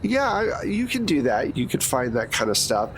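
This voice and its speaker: A man narrating as game master of a tabletop game, describing scenes in a relaxed way with frequent fillers. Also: mid-range voice, normal speed. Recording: noisy background.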